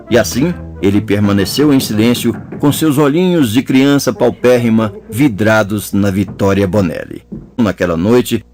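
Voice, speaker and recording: A man narrating an audiobook chapter in a measured storytelling manner. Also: mid-range voice, darkish tone. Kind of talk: scripted narration.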